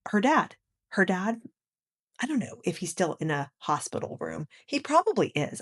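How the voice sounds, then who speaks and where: Woman, low-pitched, scratchy froggy throat, chatty indignant commentary, recorded in bed on a mic. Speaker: channel host. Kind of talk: casual commentary vlog. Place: in bed at home. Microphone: microphone with laptop.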